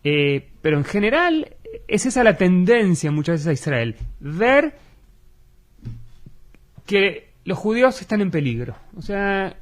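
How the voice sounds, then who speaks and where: A man with an Argentine Spanish accent, an educator answering in a radio interview, earnest and measured with frequent fillers. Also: sits high.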